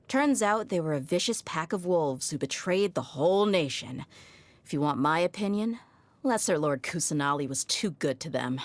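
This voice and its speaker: A woman's voice: deep voice, exaggerated voice. A woman voicing a tough, easygoing young desert mercenary in a video game, speaking in a casual, confident, wry and plain-spoken way. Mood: scornful, blunt. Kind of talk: scripted game character voice lines.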